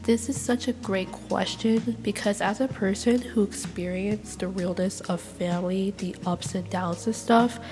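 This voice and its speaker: A woman's voice: medium pitch, fairly even intonation, a little slow, few pauses, in a large hall. Young woman, congested with a cold, blunt and opinionated, solo podcast, faint music underneath. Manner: outspoken and opinionated. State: congested from illness. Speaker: education student. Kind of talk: solo class podcast reflection.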